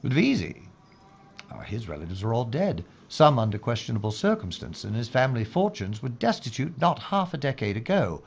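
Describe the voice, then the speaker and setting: Actor as earnest, husky wartime investigator, lively pitch. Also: male voice, dull audio, full character voice. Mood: intent, persuasive. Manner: formal, deliberate, grave. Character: earnest, methodical male British investigator in wartime London. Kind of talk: period mystery audio drama acting.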